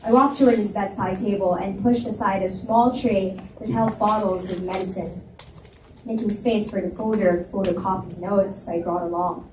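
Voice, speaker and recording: A woman reads a story aloud in the first person, with a medium-pitched voice. She is some way from the mic in an echoey room, with faint noise. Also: fairly even intonation, normal speed, far from the mic. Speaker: reader narrating a story. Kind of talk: live first-person story narration with dialogue. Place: indoor reading event at a library.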